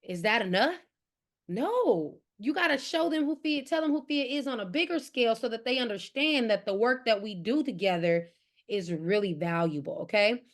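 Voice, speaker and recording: A woman with a somewhat high voice leads a group session. She talks like a motivational facilitator, exhorting people to act and regularly tagging her points with check-ins. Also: expressive, normal speed. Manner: exhorting, often checks in with "right?". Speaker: organizer hosting a training session.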